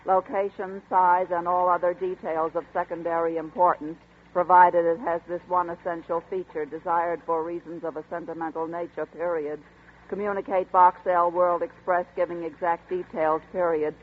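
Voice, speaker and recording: A high-voiced dry newspaper clerk. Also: male voice, flat delivery, moderate pace. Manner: dry, matter-of-fact. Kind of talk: 1940s radio drama acting. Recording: tinny old radio broadcast.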